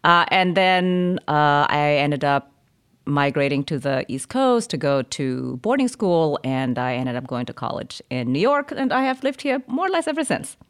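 A woman's voice: low-pitched, big pitch swings, normal speed, clear, resonant tone. A woman in her fifties from Indonesia and Germany, a podcaster answering interview questions conversationally as a guest. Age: 50s.

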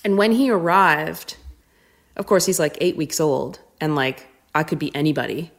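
A woman talking casually and reflectively as a podcast guest, thinking aloud haltingly and often questioning herself. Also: deep voice. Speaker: guest, touring musician.

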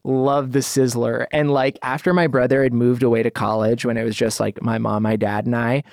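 A man's voice: mid-range voice, gravelly, no echo, studio quality. Laughing man hosting a podcast. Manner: laughs often, bursts into quick excited asides. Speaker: podcast host. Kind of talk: casual chat.

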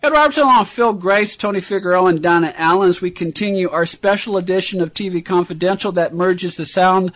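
A man with a notably high voice hosts a radio program. He delivers a scripted introduction in the manner of a show presenter. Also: brisk, hardly any pauses, fairly bright tone.

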